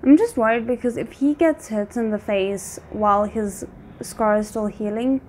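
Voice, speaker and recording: Higher-pitched woman giving casual reactions. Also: moderate pace, darkish tone, a little hiss or hum. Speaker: reaction video host. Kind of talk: casual spontaneous reaction commentary.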